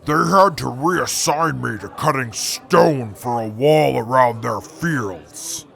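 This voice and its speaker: A pompous super mutant brute rumbles through his boasts with a heavily gravelly, fry-laden voice, as a man acts him out. He speaks slowly and grandly, like a self-important giant in a radio play. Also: fairly bright tone. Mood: boastful. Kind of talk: fan audio drama.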